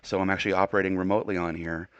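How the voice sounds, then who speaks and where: A man's voice: sits low, flat delivery, rapid-fire, a bit bright, clean tone, dry recording, dull audio. An American man working as an amateur radio operator, speaking courteously in a casual on-air radio style. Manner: courteous, spells with phonetic alphabet.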